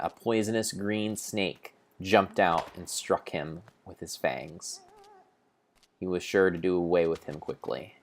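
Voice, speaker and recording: A man improvising a mock-epic dramatic narration of a video game, grandiose and theatrical, with pauses and self-corrections. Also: sits low, slight background noise.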